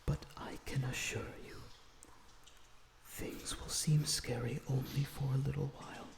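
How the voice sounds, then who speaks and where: Casting: male actor as a tender vampire prisoner for audio roleplay, pleading softly and slowly, roomy echo. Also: medium pitch, level tone. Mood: tender, soothing. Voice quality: breathy, often dropping to a whisper. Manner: soothing, pleading, slightly formal phrasing. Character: lonesome, gentle, earnest male vampire prisoner.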